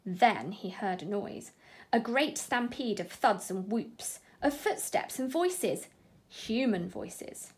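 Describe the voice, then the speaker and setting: A woman reading a children's bedtime story aloud in an expressive narrating style. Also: medium pitch, slow, husky.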